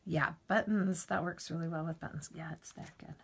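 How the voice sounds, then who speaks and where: A middle-aged woman with a hoarse morning voice, chatting casually while demonstrating a craft project. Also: low-pitched, fairly even intonation, normal speed. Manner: chatty, rambling asides while working. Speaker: crafting tutorial host.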